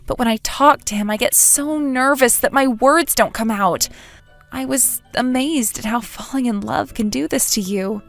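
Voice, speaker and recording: A woman's voice: on the high side, moderate pace, gravelly, a bit bright, soft background music. A woman playing a sociable but nervous, lovesick schoolgirl in a manga dub, with an emotive, hesitant delivery. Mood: fond narration. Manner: emotive, self-doubting, hesitant. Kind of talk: dramatic manga dub narration and dialogue.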